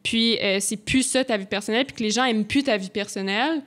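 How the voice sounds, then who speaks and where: A woman's voice: a little high, fast talker, fairly bright tone. Young quebec woman on a podcast, rambly, lots of euh and tu sais. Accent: Québécois French. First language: French. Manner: rambling, many fillers. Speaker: podcast guest. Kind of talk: casual chat.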